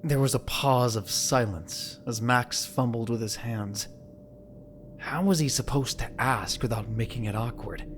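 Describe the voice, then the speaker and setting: A man's voice: medium pitch, unhurried, a little hiss or hum. A man giving scripted, dramatic story narration for an audio drama. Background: background music.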